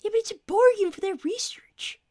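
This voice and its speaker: A woman voicing a young, brainy fox inventor in improvised livestream banter, earnest, argumentative and quick to justify himself. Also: extremely high pitch, darkish tone, no echo, clean studio sound.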